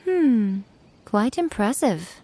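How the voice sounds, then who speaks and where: A woman's voice: a little high, big pitch swings, slow, warm tone, slight background noise. A woman voicing a sly, elegant shrine priestess in a video game, poised, wry and coolly commanding. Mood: amused. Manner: poised, mock-sympathetic, wry.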